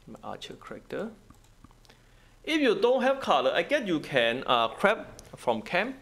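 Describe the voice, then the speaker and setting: A man who is not a native English speaker, giving a casual gameplay walkthrough in halting, repetitive short phrases. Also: high voice, wildly swooping pitch, creaky, a bit bright. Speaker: gaming guide host.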